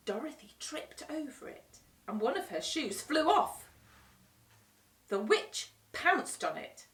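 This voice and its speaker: A woman reading a children's storybook aloud with expressive narration. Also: high-pitched, slow pace, recorded from a distance. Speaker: storytime reader. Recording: roomy echo, away from the mic.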